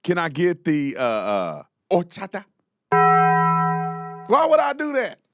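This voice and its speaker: Animated mocking male commentary host. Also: high voice, slow pace, music in the background. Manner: mocking, exclaims loudly, slangy.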